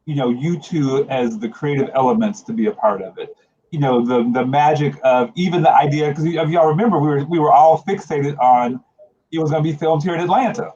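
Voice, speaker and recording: Effusive male panelist, higher-pitched and mellow, casual delivery, dull lo-fi recording. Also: fairly quick. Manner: effusive, gushing. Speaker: creative team member, panel guest. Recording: muffled lo-fi audio, clear background noise.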